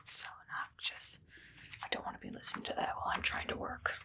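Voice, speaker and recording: A woman playing a menacing, irritable evil scientist in an ASMR roleplay, whispering bossy orders and deadpan threats with a breathy, creaky edge. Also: quick pace, natural voice. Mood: irritated.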